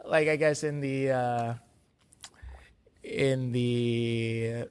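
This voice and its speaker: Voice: medium pitch, fairly even intonation, very slow, darkish tone, clean tone, dry recording. A podcast host interviewing an artist, asking questions and backing the guest with short agreements. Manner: supportive, frequent short backchannels. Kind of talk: casual interview conversation.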